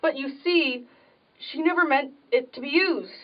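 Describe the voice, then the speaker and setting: Woman acting a high-voiced timid young woman, muffled. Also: normal speed, natural voice. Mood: distressed. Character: timid, anxious young woman, devoted poor relation. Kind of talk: amateur period drama acting. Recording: muffled audio, distant microphone.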